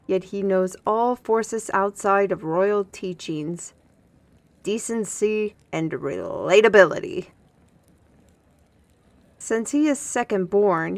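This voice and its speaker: A woman playing a young, guarded, gently teasing fantasy woman in a roleplay audio drama, talking directly to the listener in a conversational way. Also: mid-range voice, dry recording, lightly acted. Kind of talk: scripted roleplay audio acting, ASMR.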